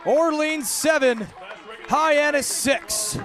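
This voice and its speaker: A man doing live radio baseball play-by-play commentary as the broadcaster, with punchy, clipped calls that build toward big, drawn-out plays. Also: extremely high pitch, fairly bright tone, a faint crowd.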